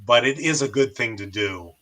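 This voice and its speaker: American male, mid-range bright voice, casual hobby-radio host and operator. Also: mid-range voice, normal speed. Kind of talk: casual demonstration and radio chat.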